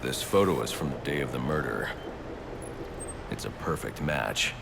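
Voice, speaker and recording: A man's voice: expressive, moderate pace, noisy background, exaggerated voice. An actor playing a grim, brooding male vigilante detective in a video game, terse and menacing. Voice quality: gravelly, very deep. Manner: terse, menacing, low and controlled. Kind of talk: video game cutscene acting. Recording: loud score behind voice.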